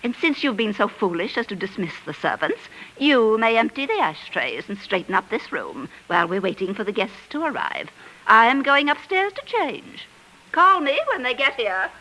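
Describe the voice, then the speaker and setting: An actress playing a bossy, scornful middle-aged wife, scolding imperiously in 1940s radio drama style. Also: female voice, a little high, big pitch swings, moderate pace, sharp tone, exaggerated voice, rough recording.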